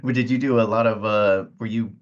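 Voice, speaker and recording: A man's voice: on the low side, fairly even intonation, fairly quick. A man hosting a casual interview with hesitant phrasing, on slightly muffled audio. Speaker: interviewer, host.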